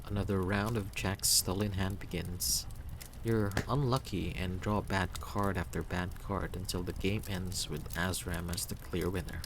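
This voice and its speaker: A man's voice: sits low, fairly even intonation, measured pace, background noise. A man reading scripted second-person story narration aloud, as the narrator of a game.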